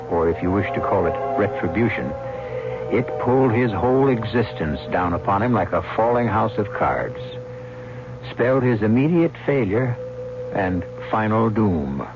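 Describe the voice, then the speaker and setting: A man narrating an old-time radio drama as a grave storyteller of doom, with a measured, theatrical delivery. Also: on the low side, lively intonation. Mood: ominous. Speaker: host and narrator. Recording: dull vintage radio, music behind.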